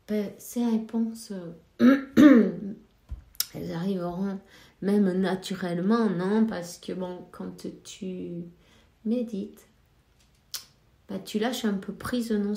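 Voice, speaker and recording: A woman's voice: mid-range voice, warm tone, roomy sound. A woman with a cold giving casual spiritual advice as a card reader, hesitant and full of fillers. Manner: slow, hesitant, many fillers. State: has a cold.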